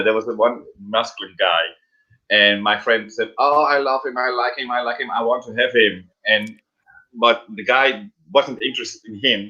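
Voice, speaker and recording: Dutch-accented man with a bright, dull-sounding voice. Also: fairly low pitch. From Netherlands. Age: middle-aged. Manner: halting, repeats words, laughs often. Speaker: drag performer and guest. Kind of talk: casual interview chat.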